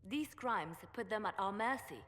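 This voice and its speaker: A woman's voice: mid-range voice, lively intonation, moderate pace, fairly bright tone, close to an everyday voice. A woman voicing a polished, shrewd female diplomat who speaks precisely and courteously in a video game. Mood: measured. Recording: game audio with long reverb.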